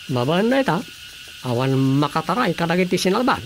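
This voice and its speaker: A man's voice: sits high, big pitch swings, a little fast, vocal fry, a little hiss or hum, heavily acted. A man playing a self-assured village officer-in-charge in a comedic radio drama, commanding and matter-of-fact. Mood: plotting.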